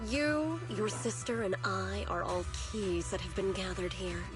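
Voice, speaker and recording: A woman's voice: medium pitch, close to an everyday voice. A woman playing a serene, scheming cultist zealot in a video game, speaking in a lofty, taunting, ceremonial manner. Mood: mocking, smug. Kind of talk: dramatic video game voice acting. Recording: loud game music behind. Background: music.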